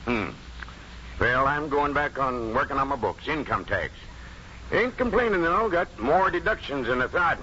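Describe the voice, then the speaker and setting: A man's voice: mid-range voice, lively intonation, normal speed, pushed and bright. An actor playing a pious, set-in-his-ways farmer uncle in a 1950s radio drama, with blunt, folksy rural speech. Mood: businesslike. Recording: dull, noisy 1950s radio recording.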